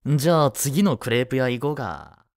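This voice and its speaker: A man playing a laid-back, cheeky, protective male high school student in a romantic audio drama, with casual, slangy, reassuring delivery. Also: sing-song, brisk, dry recording, studio quality. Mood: easygoing, reassuring.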